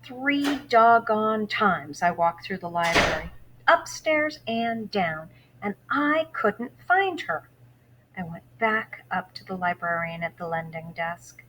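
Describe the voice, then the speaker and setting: A woman's voice: mid-range voice, expressive, slow pace, noisy background. A woman teacher reading a novel aloud to students, giving instructions in between and telling the story expressively. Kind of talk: read-aloud lesson for students.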